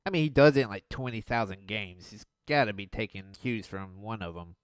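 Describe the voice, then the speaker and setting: A young man reviewing an old cartoon in a sarcastic, mocking voice-over with deadpan jabs. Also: fairly low pitch, brisk.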